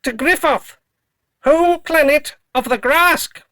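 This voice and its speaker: A performer voicing a dutiful robot dog companion with clipped, deadpan mechanical phrasing in an audio drama. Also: high-pitched, slow, no echo, clean studio sound, exaggerated voice. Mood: flat, dutiful. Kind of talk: fan audio drama acting.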